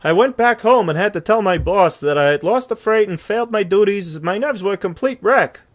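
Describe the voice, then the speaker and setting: A man plays a hapless cartoon horse telling his sad tale in a high voice. He is earnest and nervous, stammering and melodramatic as he reads from an audio comic. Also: expressive, brisk. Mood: nervous, pleading. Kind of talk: scripted audio comic narration.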